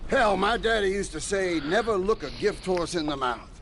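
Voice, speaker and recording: A man's voice: sits high, animated, moderate pace, a bit bright, a little hiss or hum. An actor playing a brash, folksy rich older businessman who talks boisterously.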